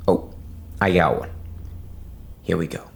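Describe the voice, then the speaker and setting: A man playing a reluctant, folksy storyteller who narrates in a halting, informal way in an audio drama. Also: sits low, vocal fry, a little hiss or hum. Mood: hesitant, musing. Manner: halting, informal, plain-spoken. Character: reluctant, folksy male storyteller retelling a Christmas tale. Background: music.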